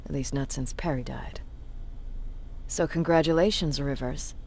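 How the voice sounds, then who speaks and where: Cynical resistance commander, mid-range voice, deliberate, condescending, intimidating, video game drama. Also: mid-range voice, gravelly, slight background noise. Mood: cold, wry. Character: cynical, intimidating human resistance military commander, self-described bully. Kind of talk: video game cutscene acting, dramatic monologue.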